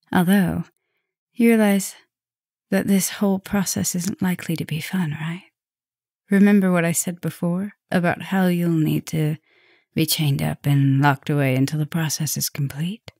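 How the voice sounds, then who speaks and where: Woman acting as a slow, low-voiced flirty vampire professor. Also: no room sound, clean studio sound, heavily acted. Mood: tender, serious. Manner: soft, breathy, teasing, drifting into whispers. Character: flirtatious, teasing, caring female vampire professor, softly dominant. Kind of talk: intimate roleplay ASMR.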